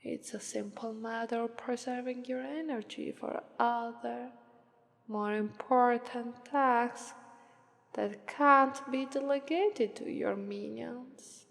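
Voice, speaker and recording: A woman with an Italian accent voicing a lazy, aloof, regal demon of Sloth in an unhurried, detached ASMR roleplay. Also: fairly high pitch, steady tone, gravelly, a bit bright, exaggerated voice. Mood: languid, aloof. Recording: long reverberant hall echo.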